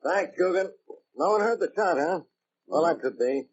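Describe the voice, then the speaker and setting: A man playing a gruff, stubborn police inspector in an old-time radio drama, blunt and dismissive. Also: on the high side, expressive, normal speed, lightly acted. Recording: muffled, noisy old radio recording.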